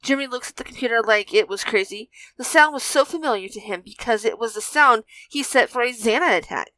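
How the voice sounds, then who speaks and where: A woman's voice: a little high, a little fast, pushed and bright, no room sound, poor audio. A woman narrating a fan fiction story as a scripted reading.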